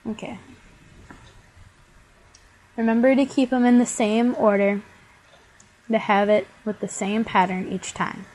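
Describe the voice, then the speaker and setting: A woman's voice: a little high, level tone, measured pace, long pauses, darkish tone, poor audio. A young woman, stuffy from a cold, chattily talking through a bead craft tutorial step by step. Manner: chatty, many "like" fillers.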